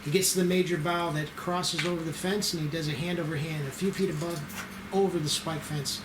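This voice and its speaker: Male narrator, slightly high voice, scripted scene directions, roomy echo, noisy recording. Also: fairly even intonation, brisk. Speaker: screenplay narrator and reader. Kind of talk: reading stage directions aloud at an amateur table read. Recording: roomy echo with clear noise.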